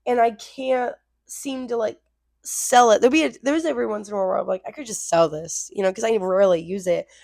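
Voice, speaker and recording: A woman co-hosting a casual chat podcast, a little hoarse because she is losing her voice, rambling and cutting into her own sentences as she goes. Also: mid-range voice, fast, a little mellow, dry recording, studio quality. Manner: rambling, self-interrupting. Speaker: podcast co-host.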